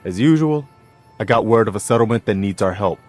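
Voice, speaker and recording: An actor playing an earnest, dutiful male settler leader in a game, giving a steady, businesslike briefing. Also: fairly low pitch, a little fast, faint music behind.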